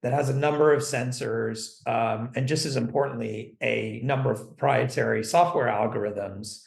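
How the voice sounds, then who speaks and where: An American-accented man with a creaky, fry-edged voice talks in a conversational interview style. His pitch is mid-range for a man. The room adds noticeable echo around his words. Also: steady tone, normal speed. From London, England. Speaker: podcast guest, company CFO.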